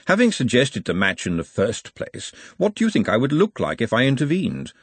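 A man voicing an urbane, calculating city ruler in a storybook, with measured, formal, dryly understated speech. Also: medium pitch, huge pitch swings, husky, no echo, voice pushed up. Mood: dry, composed.

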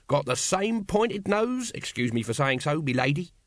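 A chirpy city watchman as a male narrator acts him, raised in pitch with a lively swing. He is cheeky and eager to please, a comic storybook rogue. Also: moderate pace, no room sound, studio quality. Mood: chirpy, ingratiating. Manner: cheery, ingratiating, cheeky.

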